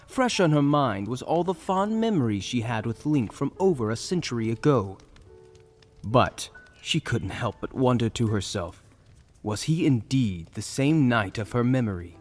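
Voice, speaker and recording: A man's voice: mid-range voice, sing-song, normal speed. A man narrating a fantasy story in a dramatic, scripted storytelling style. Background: soft music.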